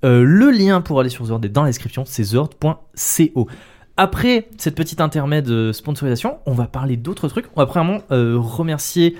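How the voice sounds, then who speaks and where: A man with a fairly high voice who talks very fast and with energy. He co-hosts a relaxed podcast with friends and thanks the community with enthusiasm, stacking up his compliments. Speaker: podcast co-host. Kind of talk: casual podcast chat.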